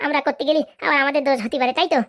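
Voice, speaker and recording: A woman playing a high-voiced, scheming village madam. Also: steady tone, racing, fairly bright tone. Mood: smug, plotting. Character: scheming, ambitious village madam running for election. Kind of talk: comic cartoon drama acting.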